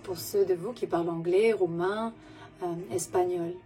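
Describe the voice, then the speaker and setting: A woman giving a gentle, soothing spiritual message to her viewers in a language that is not her first, pausing often and correcting herself. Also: fairly low pitch, fairly even intonation, soft background music. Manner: gentle, soothing, halting with pauses and self-corrections; speaks French as a second language. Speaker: spiritual messenger.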